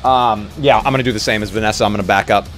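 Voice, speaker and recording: A man with a slightly low voice plays a tabletop roleplaying game. He talks casually, working out his moves out loud. Faint music runs underneath. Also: fairly even intonation, very fast. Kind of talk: casual game chat, thinking aloud.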